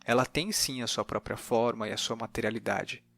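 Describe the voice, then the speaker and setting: A man's voice: mid-range voice, a little fast, gravelly, fairly bright tone, soft background music. A man with a Brazilian accent hosting a conversational interview, reflecting aloud and rephrasing as he goes. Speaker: podcast host, interviewer.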